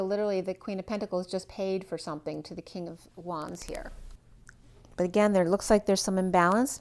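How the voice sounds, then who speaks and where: A woman's voice: mid-range voice, a little mellow. Rambling woman reading tarot cards. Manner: loose, rambling, piling up possibilities; thinks aloud with frequent "right?" asides. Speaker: tarot reader. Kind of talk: general tarot reading for a star sign.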